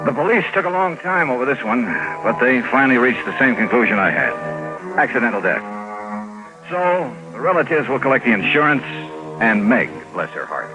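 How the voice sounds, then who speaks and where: An actor plays a hard-boiled investigator with a medium-pitched, very expressive voice. He is probing and crisp, in 1950s radio detective style, with music at times on the old recording. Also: a little fast, highs cut off, lightly acted. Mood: wry, wistful. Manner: crisp, probing, matter-of-fact. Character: shrewd, hard-boiled male insurance investigator with sympathy.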